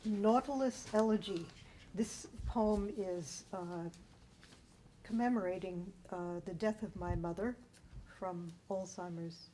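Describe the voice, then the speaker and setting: A woman reading her own poem at a live event, in measured, short, deliberate phrases. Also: medium pitch, dark tone, poor audio. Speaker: poet reading own work. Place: live public reading at a library. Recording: noticeable room noise.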